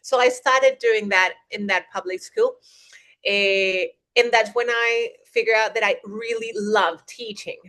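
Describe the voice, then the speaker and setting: A woman's voice: medium pitch, fairly even intonation, measured pace, fairly bright tone. A young woman from Colombia, an English teacher, talking through her career in a casual interview over a video call, with frequent "eh" fillers and restarts. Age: young adult. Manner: chatty, lots of restarts and "eh" fillers.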